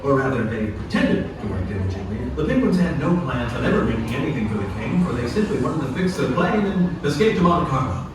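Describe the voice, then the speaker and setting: A man's voice: medium pitch, big pitch swings, fairly quick, hardly any pauses. A man delivering scripted storybook narration for a puppet show. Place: indoor attraction puppet show, heard from audience distance. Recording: echoey, distant venue with background noise.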